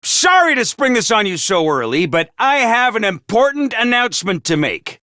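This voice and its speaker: A man voicing a small, bossy male robot commander in a video game, theatrical and self-important, prone to shouting. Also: sing-song, moderate pace, dry recording, clean studio sound, heavily acted. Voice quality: squeaky, over-the-top. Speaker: voice actor. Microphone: dry studio mic.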